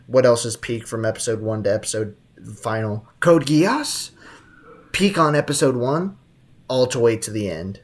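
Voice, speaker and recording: Casual, slangy young male game streamer. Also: medium pitch. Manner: slangy, playful, banters with viewers. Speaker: video game live streamer. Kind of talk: casual chat while gaming. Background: game music.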